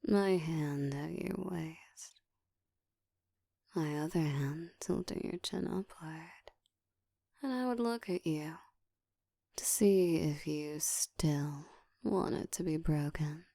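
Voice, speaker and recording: Casting: woman to play an ancient female vampire, dominant and seductive, low hushed whisper, extremely slow and deliberate, intimate ASMR roleplay. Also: no room sound, studio quality, heavily acted. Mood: restrained hunger. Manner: breathy whispering, slow deliberate teasing delivery.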